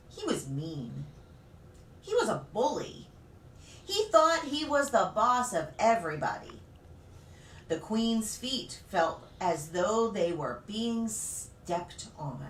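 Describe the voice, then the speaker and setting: A woman reading a children's story aloud expressively, with deliberate phrasing and dramatic pauses. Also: mid-range voice, fairly bright tone. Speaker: pastor reading children's stories. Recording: roomy, distant mic with faint noise.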